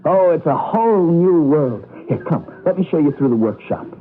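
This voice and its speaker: An actor playing an eager, gentle man who guides a newcomer, in old-time radio drama style. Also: fairly high pitch, lively intonation, moderate pace, narrow, tinny audio, faint music behind, close to an everyday voice. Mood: wistful enthusiasm. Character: eager gentle man guiding a newcomer through simple farm life. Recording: thin band-limited radio broadcast, some noise.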